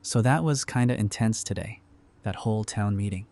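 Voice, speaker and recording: Male actor as friendly, practical post-apocalyptic survivor for audio drama; fairly low pitch, dry casual banter. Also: normal speed, dark tone, natural voice. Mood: serious. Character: easygoing, wry male survivor, friendly and pragmatic. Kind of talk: fan audio drama dialogue.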